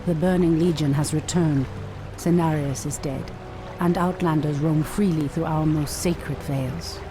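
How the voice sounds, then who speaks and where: An actress playing a proud, resolute night elf warrior priestess in a fantasy game, speaking formally and firmly. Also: female voice, deep voice, fairly even intonation, a little slow. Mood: urgent, earnest. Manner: formal, earnest, firm dramatic delivery. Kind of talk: dramatic fantasy game acting. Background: loud music.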